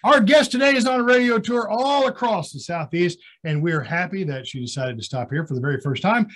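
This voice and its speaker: A man's voice: sits high, animated, fairly quick, fairly bright tone. A man hosting a radio interview, enthusiastic and chatty, often restarting his sentences. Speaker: radio host.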